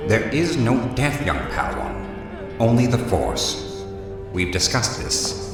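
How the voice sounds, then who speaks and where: A man's voice: fairly low pitch, huge pitch swings, slow pace, gravelly, heavily acted. A man playing a grave, courteous Jedi master in a comic dub, formal and dryly understated. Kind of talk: dramatic acting in comic dub. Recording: loud music behind voice.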